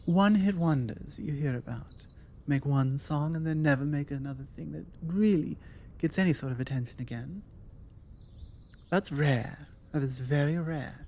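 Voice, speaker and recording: A woman acting as a self-assured British vampire lady in an ASMR roleplay. She speaks slowly in a soft-edged, mellow tone, conversational and forward, leaving deliberate pauses between her phrases. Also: low-pitched, a little hiss or hum.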